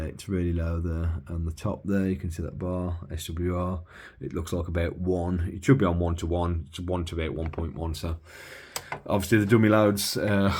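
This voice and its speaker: Very low-pitched man, casual demonstration. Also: slight background noise. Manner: hesitant, matter-of-fact technical explaining. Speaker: hobbyist demonstrating a used CB radio. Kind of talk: casual unscripted bench demonstration.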